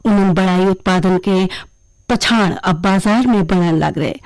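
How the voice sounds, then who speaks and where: A woman newsreader with a Kumaoni accent delivers a scripted regional bulletin. Her delivery is formal and measured, at a middling pitch. The sound is close and dry, as in a radio studio. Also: darkish tone, no room sound. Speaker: regional radio news reader.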